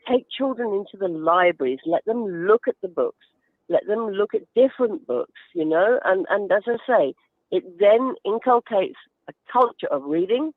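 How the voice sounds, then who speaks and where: A woman's voice: medium pitch, animated, normal speed, no echo. A woman chatting enthusiastically and descriptively as a guest giving advice in a radio interview. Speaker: guest author. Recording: narrow phone-like line.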